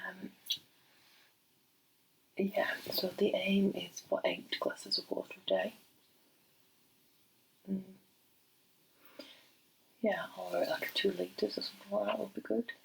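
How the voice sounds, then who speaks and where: A middle-aged woman speaking softly in an ASMR doctor role play, breathy and often slipping into a whisper, gentle and unhurried, close to the microphone. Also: medium pitch, a little hiss or hum, poor audio. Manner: gentle, unhurried, hesitant pauses. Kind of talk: soft-spoken ASMR medical role play.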